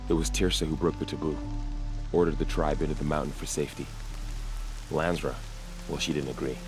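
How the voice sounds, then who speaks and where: Very deep-voiced weary warrior. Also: male voice, flat delivery, music in the background. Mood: measured. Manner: solemn, measured. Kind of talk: video game cutscene acting.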